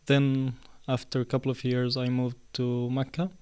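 A man in his twenties from Syria, answering interview questions in a casual, hesitant way with many pauses and fillers. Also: mid-range voice, monotone, warm tone, smooth. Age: 20s. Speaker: podcast guest.

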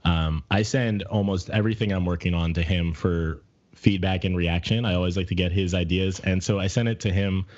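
A middle-aged man with a deep voice talking candidly and casually as a podcast co-host, reflecting as he searches for his words. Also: steady tone, brisk, a little mellow, highs cut off. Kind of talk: casual personal conversation.

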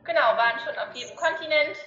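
A woman from Germany, a travel blogger, talking briskly and casually as a guest over a call. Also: high-pitched, bright, forceful tone. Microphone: call audio. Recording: dull echoey call audio with faint noise.

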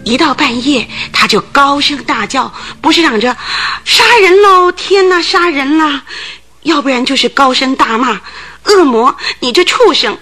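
A woman's voice: sits high, lively intonation, a little slow, bright, forceful tone, faint music behind, heavily acted. An actress in a Chinese radio drama playing a thin, fidgety, gossipy landlady of about forty, agitated and full of exclamations.

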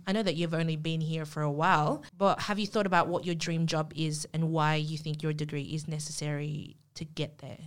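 A woman's voice: sits low, little pitch movement, brisk, hardly any pauses. A woman interviewer who asks questions in a casual, affirming, conversational way.

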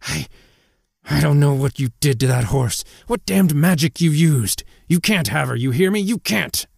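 A man's voice: on the high side, animated, normal speed, creaky, a little mellow, slightly rough, dry recording, pitched above the performer's own voice, exaggerated voice. A man performs a spiteful, cowardly horse owner for an audio storybook. The voice is pushed up from the reader's own and sputters and snarls, in a dry, close recording. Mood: furious, snarling.

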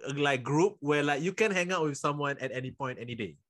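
A man's voice: on the high side, fast talker, a bit bright. A man co-hosting a casual podcast conversation, joining in readily and finishing the guest's thoughts for him.

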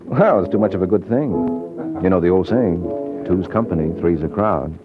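Wisecracking low-voiced radio detective. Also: male voice, animated, moderate pace. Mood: smug, flirtatious. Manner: sardonic, slangy. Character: wisecracking hard-boiled male private detective, cocky and flirtatious. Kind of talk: old-time radio detective acting. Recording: dull vintage radio recording with music.

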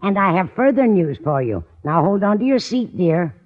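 A woman's voice: on the low side, very animated, moderate pace. A coy, lovestruck older landlady from a 1950s radio comedy. Her delivery gushes and swoops with dramatic phrasing. The sound is thin and tinny, like an old broadcast. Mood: coy, pleased. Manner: gushing, endearing address, dramatic phrasing. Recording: tinny, narrow-band old radio recording.

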